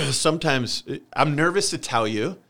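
A man's voice: on the high side, lively intonation, normal speed, bright, forceful tone. An American man in his forties from Michigan talks candidly about his own life in an interview, earnest and confessional, repeating phrases for emphasis. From Michigan, United States. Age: 40s. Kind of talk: candid personal testimony in a conversational interview.

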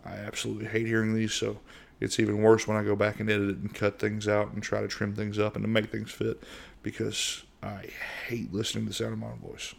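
A middle-aged man from the United States hosting a casual solo podcast in a monotone voice, rambling with lots of fillers and restarts. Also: on the low side, fairly quick, creaky, hardly any pauses. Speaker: podcast host.

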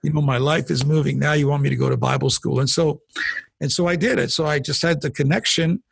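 A man's voice: fairly high pitch, fairly even intonation, fast talker, gravelly, husky, dry recording. A middle-aged man, a pastor, earnestly telling his life story in a conversational interview, often restarting and repeating words as he goes. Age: 40s or older. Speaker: pastor and guest. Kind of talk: personal testimony in a conversational interview.

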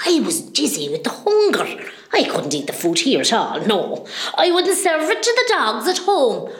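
A woman's voice: fairly high pitch, huge pitch swings, moderate pace, reverberant. A woman playing a cheeky, bawdy older mother in hospital in an amateur radio comedy, with a West Cork Irish accent and a theatrical, gossipy manner. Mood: indignant, chatty.

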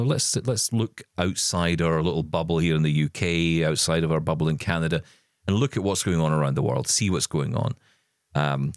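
A deep-voiced man co-hosts a podcast in relaxed, rambling chat. His voice swings freely up and down as he talks, upbeat and easygoing. The sound is close and dry, with no room around it. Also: no echo. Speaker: podcast co-host.

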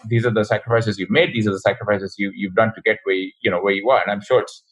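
Fast-talking man with a husky voice. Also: on the low side, fairly even intonation, lo-fi sound. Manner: fast, rambling, frequent restarts. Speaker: guest, ecommerce founder. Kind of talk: casual interview talk.

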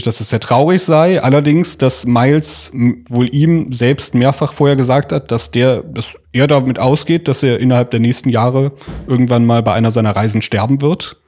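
A man's voice: mid-range voice, a little mellow. A man telling a story as a podcast host in an informal, conversational way. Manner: informal, colloquial. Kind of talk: conversational storytelling, reading out quotes.

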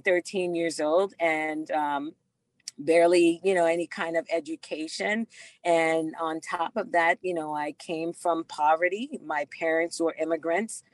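Woman from the Bahamas, low voice, slow, earnest, dry sound, lots of ums. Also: no echo. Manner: slow and earnest, frequent fillers. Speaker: guest, doctor and author. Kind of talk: interview storytelling.

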